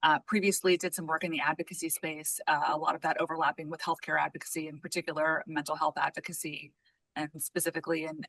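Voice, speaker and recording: A woman, a legislative chief of staff, introducing herself to a board over a video call, brisk and upbeat. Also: deep voice, sharp tone, dry recording, low-quality recording. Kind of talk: self-introduction.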